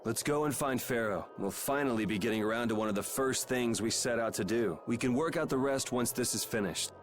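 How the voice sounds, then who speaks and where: A man's voice: mid-range voice, fairly quick, a little hiss or hum, natural voice. An actor playing a blunt, casual and decisive young swordsman in a video game. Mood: casual, resolute. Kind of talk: scripted video game voice acting. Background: game music.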